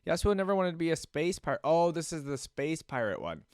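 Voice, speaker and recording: A man giving casual gaming reaction commentary as a host, rambling and thinking out loud as he goes. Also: a little high, big pitch swings, brisk, clear, resonant tone, dry recording, clean studio sound.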